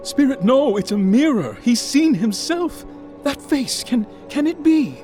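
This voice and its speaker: A man's voice: extremely high pitch, very animated, measured pace, warm tone, faint music behind, full character voice. A man playing a trembling, pleading writer. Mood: anguished. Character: frightened male writer pleading with a ghost. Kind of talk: radio drama acting.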